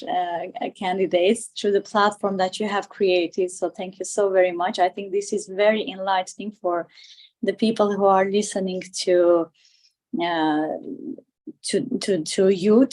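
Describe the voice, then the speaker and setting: A woman at a middling pitch. She answers as an interview guest in a conversational way. Her delivery is halting, as she repeats and rephrases words while she builds her sentences. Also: fairly even intonation, darkish tone. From Armenia. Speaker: interview guest, political candidate.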